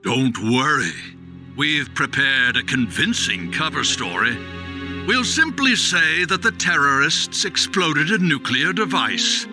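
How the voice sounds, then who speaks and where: An actor playing a ruthless, smug government official in a video game, condescending and coldly self-important. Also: male voice, a little high, animated, slow pace. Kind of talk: dramatic video game voice acting. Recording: loud music under the voice.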